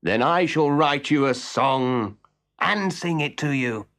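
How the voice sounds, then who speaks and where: A man voicing a suave, kindly, self-admiring male snail in a storybook, with smooth, flattering talk. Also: mid-range voice, slow pace. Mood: kindly reassuring.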